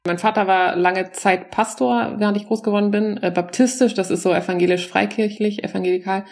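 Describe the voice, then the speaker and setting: A woman's voice: medium pitch, steady tone, a little fast, vocal fry, darkish tone. A woman in her forties, a stand-up comedian, talking loosely and casually about her work and mixing in English words. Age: 40s. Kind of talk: casual interview talk.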